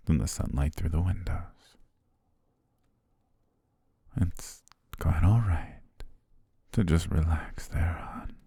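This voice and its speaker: A young man playing a devoted, quietly possessive butler, speaking softly and doting in an ASMR roleplay on a close mic. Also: low-pitched, gravelly, slightly rough, no room sound, full character voice. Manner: soft, coaxing, doting, lulling. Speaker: ASMR voice actor.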